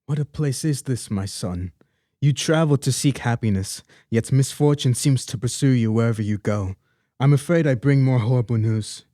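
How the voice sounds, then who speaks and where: Young man acting as grieving paternal older man, radio play, formal speech, soft dark tone, dry recording. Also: mid-range voice, moderate pace, dry recording, natural voice. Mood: grave, consoling. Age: young adult. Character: Victor's grieving, protective, dignified older father.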